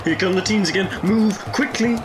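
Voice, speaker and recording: A man's voice: high voice, moderate pace, natural voice. A man acting a breezy, playful, youthful-minded ancient time traveller in an audio drama over street noise. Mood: urgent. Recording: very noisy outdoor street ambience.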